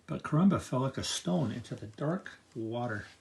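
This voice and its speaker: Older man with a lively, creaky storytime voice. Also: medium pitch, normal speed, background noise. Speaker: grandfather reading picture books aloud. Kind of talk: expressive children's storytime narration.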